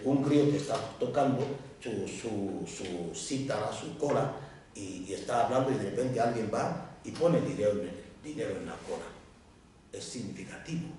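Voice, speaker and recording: A man from Africa, a writer with another first language, speaking on a round-table panel in a lively way, with many restarts and repeated words. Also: medium pitch, a little hiss or hum. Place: lecture room. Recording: echoey hall, distant mic.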